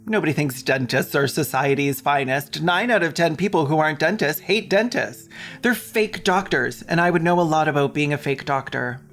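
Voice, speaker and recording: A mid-pitched commentator narrates a video essay over music. The delivery is sarcastic and mocking, slipping into casual, sweary asides. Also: moderate pace, fairly bright tone.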